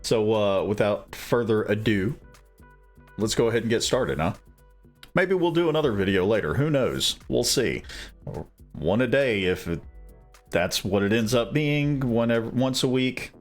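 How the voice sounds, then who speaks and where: A man with a low voice reads an old fairy tale aloud as a storyteller. He sounds relaxed and unhurried, sometimes rambling, and soft music plays faintly behind him. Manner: relaxed, rambling chat before the reading. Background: soft background music.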